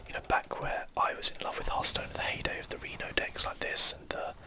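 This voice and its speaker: Soft breathy ASMR whisperer playing games. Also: a little fast. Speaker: host of a game play channel. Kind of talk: ASMR whispered gameplay commentary.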